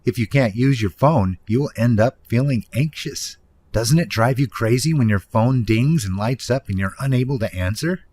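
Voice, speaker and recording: A man's voice: mid-range voice, moderate pace, a little mellow, slightly rough. A man reading scripted nonfiction narration in an explanatory manner, speaking directly to the listener. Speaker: audiobook narrator, author reading own book.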